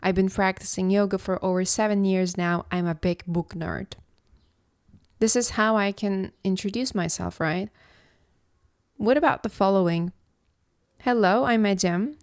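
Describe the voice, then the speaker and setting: A woman from Istanbul, hosting a reflective solo podcast as a philosophy coach, speaking in a slow, contemplative, measured way. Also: mid-range voice, steady tone, darkish tone, no room sound, studio quality. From Istanbul, Turkey.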